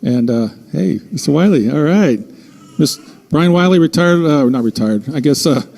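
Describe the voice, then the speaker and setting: A man making informal, somewhat halting remarks as an official at a public meeting. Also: on the high side, lively intonation, creaky, a little mellow, long reverb tail. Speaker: official introducing police staff at a meeting. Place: indoor city council meeting.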